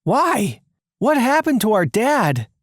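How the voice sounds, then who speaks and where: An anxious young woman in an animated story, as the narrator acts her out, pitched high and swinging upward. She sounds incredulous and questioning, in a dry, close recording. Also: slow, dry recording, heavily acted. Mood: worried.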